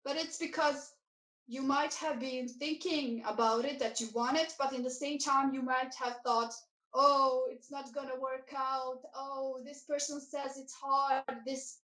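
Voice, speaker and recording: Young woman coach, high-pitched and hesitant, roomy studio sound. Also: steady tone, measured pace, sharp tone. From former Yugoslavia. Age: young adult. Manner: hesitant, many fillers, searching for words. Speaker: life and business coach. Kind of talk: interview answers.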